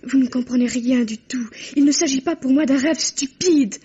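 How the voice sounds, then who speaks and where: A haughty young woman snaps back defiantly while fear shows through. Her fairly high voice is very breathy and husky. The old radio recording sounds dull. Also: normal speed, dark tone. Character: frightened, defiant young woman rider, haughty and sharp-tongued. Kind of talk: 1950s French radio drama acting.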